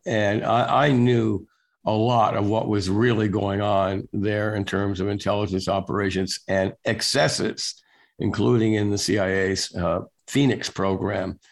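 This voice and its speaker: An older man being interviewed as a guest, telling stories in a relaxed, wry way with lots of filler words. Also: fairly low pitch, a little slow, creaky. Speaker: guest, national security reporter. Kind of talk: casual storytelling interview.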